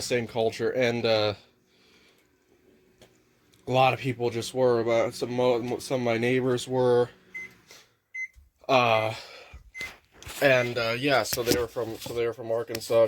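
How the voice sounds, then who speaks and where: A man's voice: mid-range voice, flat delivery, unhurried, pauses often, slight background noise. A man with a nasal voice talking in a rambling, casual vlog monologue at home, restarting often and swearing casually. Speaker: vlogger. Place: home kitchen near a microwave.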